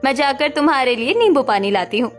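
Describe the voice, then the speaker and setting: A woman voicing a tired, patient elder daughter-in-law who soothes and warns gently. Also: high voice, big pitch swings, fast talker, faint music behind, voice pushed up. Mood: weary.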